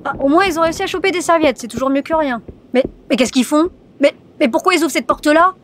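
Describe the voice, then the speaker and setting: An actress playing an unimpressed, sarcastic companion in a comic audio drama, deadpan and colloquial. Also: female voice, sits high, quick pace, a little hiss or hum, lightly acted. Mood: watchful.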